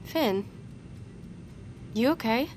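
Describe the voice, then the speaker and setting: A woman's voice: a little high, lively intonation, drawn-out words, darkish tone, slight background noise. An actress playing a concerned, reluctant woman assistant in a modern audio drama. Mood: concerned, hesitant. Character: concerned, reluctant female assistant saying farewell.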